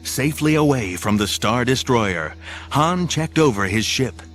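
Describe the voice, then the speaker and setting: A man reads scripted narration for an adventure audio drama with a dramatic storytelling delivery. His pitch is average, and prominent music plays behind him. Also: expressive, unhurried, a bit bright.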